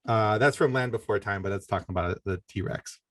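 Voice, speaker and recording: A middle-aged man with a fairly low voice speaks as a podcast guest in a casual, informal way. The sound is dry and close, with little room around it. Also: fairly quick, a bit bright, no echo. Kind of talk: casual conversational interview.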